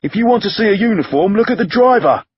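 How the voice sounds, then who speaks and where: A man's voice: high-pitched, expressive, fast talker, breathy edge, dry recording, dull audio, voice pushed up. A man voicing an off-duty Victorian constable who speaks firmly and insistently.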